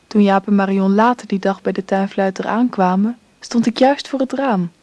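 A woman narrates the story in a measured, reflective way, her voice mellow and warm. The recording is dry and close. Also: medium pitch, steady tone, no echo. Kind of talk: scripted narration.

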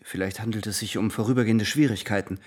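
A man playing an earnest young gentleman in a radio play, speaking politely and carefully. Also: lowish voice, steady tone, fast talker, slightly rough. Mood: tactful, concerned. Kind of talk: German radio play acting.

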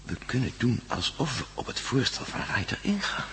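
An actor playing a steady, encouraging male prisoner in a radio drama, measured and reassuring. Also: mid-range voice, a little hiss or hum. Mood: calm, scheming.

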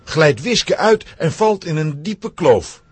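Husky, animated male storyteller. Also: a little high, slow. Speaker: narrator. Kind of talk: dramatic scripted storytelling for children.

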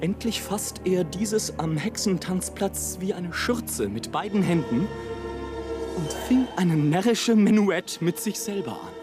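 A man's voice: high-pitched, lively intonation, a little slow, over music. An actor playing a sardonic airship traveler in a literary radio play, declaiming his journey with theatrical irony. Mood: haunted, brooding.

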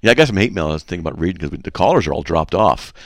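Deep gravelly man hosting a radio show. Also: animated, racing, a little hiss or hum. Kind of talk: casual conversational teaching and anecdotes.

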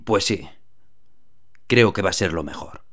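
An actor playing a weary shipyard worker giving sober testimony over a clandestine radio link in a radio drama, with a Spanish accent. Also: male voice, low voice, measured pace, fairly bright tone. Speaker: shipyard worker interviewed by phone.

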